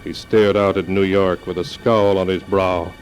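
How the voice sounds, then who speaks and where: Low, solemn radio mystery narrator played by a man. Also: level tone, moderate pace, muffled recording, lightly acted. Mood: grave, ominous. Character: ominous male storyteller of a radio mystery. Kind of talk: 1950s radio drama narration. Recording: noisy old radio recording.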